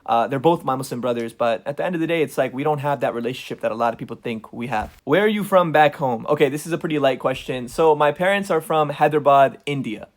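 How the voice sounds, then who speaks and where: A man's voice: medium pitch, fast, hardly any pauses. A man, answering viewer questions in a casual vlog from his home basement. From United States. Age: 20s. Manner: animated, addresses viewers as "guys" and "bro". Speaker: content creator. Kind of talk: casual Q&A vlog talk to camera.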